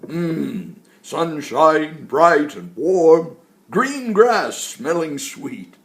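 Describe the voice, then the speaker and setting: A man's voice: fairly high pitch, very animated, drawn-out words, heavily acted. A man voicing a jolly, wise old tree from a storybook, hearty and exclamatory, given to chuckling. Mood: contented, cheerful. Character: jolly, wise old talking tree, deep and woody-sounding. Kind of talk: storybook character voice.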